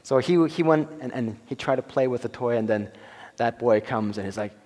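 A man in his forties preaching a sermon to his church, halting with many fillers and restarts and chuckling between phrases. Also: mid-range voice, a little mellow. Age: 40s. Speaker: pastor. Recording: long hall reverb.

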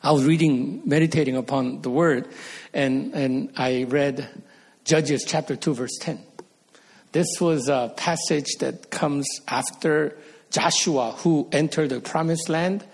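Male pastor, middle-aged, slightly high husky voice, earnest sermon delivery. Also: steady tone, a little slow. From Korea. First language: Korean. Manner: earnest, rises to emphatic peaks.

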